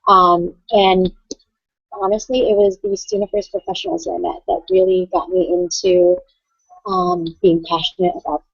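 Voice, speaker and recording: A woman from California speaking hesitantly, with many pauses and fillers, in a casual online group discussion. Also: medium pitch, very animated, creaky, no echo. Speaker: student affairs professional. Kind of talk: casual video-call discussion. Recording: dull lo-fi call audio.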